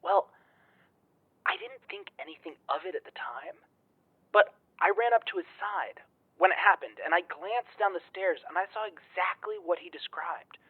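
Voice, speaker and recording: A man's voice: sits high, big pitch swings, normal speed, gravelly, slightly rough, no echo, heavily acted. A man playing a rattled young man confiding in his friends in an audio drama, speaking hesitantly over a muffled line. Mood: uneasy, reflective.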